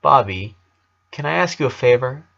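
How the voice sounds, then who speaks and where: A man's voice: medium pitch, animated, normal speed, gravelly, a bit bright. Man voicing a hesitant young boy, slightly muffled. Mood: hesitant, sad. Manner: hesitant, vulnerable. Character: Lincoln, troubled eleven-year-old boy.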